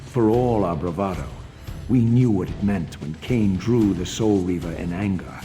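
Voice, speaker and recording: An actor playing a proud, embittered undead vampire wraith in a video game, with solemn, eloquent, dramatic diction. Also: male voice, low voice, normal speed, dark tone, faint music behind, natural voice. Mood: grim, brooding.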